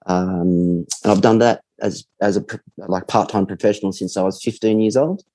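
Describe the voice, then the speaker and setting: Brief: man, 40s, mellow low voice, dry close sound, unhurried guest. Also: no echo, poor audio. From Australia. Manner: relaxed, reflective, frequent pauses. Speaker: podcast guest. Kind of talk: casual interview chat.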